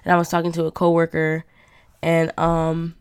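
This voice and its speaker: Nasal, stuffed-up young woman, low voice. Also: monotone, normal speed, smooth, dry recording, clean studio sound. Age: young adult. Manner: rambling, chatty, lots of fillers. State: stuffed up from a cold. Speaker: podcast host. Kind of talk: casual monologue.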